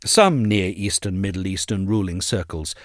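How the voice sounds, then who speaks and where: Low-voiced male essay narrator. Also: dry recording, studio quality. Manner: measured, articulate, formal.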